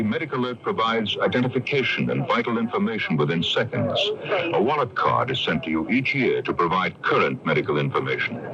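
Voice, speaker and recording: Very deep-voiced man, animated announcer. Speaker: public service announcer. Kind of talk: scripted public service announcement. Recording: noisy, dull old radio broadcast.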